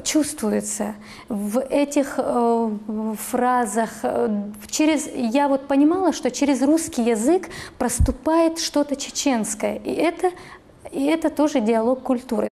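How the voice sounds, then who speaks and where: A middle-aged woman teacher speaking in an interview, thoughtful and pausing often to find her words. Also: a little high, mellow. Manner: thoughtful, with frequent hesitations. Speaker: teacher of Russian literature.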